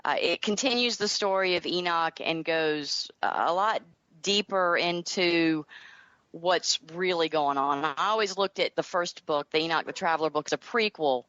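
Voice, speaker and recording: A woman's voice: fairly low pitch, normal speed, fairly bright tone, no room sound, lo-fi sound. A middle-aged woman with a Southern American accent, answering interview questions conversationally as a guest author. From North Carolina, United States. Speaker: guest author and audio drama creator.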